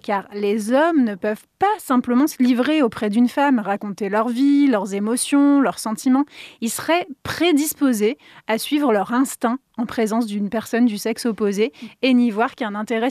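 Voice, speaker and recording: A woman's voice: on the high side, a little mellow, no room sound, studio quality. A woman hosting a radio show, talking in a conversational, partly scripted way with frequent hesitations and light laughs. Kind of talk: semi-scripted radio commentary. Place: radio studio.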